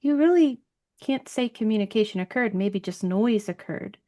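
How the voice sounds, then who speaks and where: A woman hosting a podcast talks in a loose, chatty way. She builds her points step by step and keeps asking "right?" as she goes. Her voice sits at a middle pitch. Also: lively intonation, moderate pace, mellow. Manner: chatty and explanatory, with many "like" and "right?". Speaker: podcast host. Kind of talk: conversational interview.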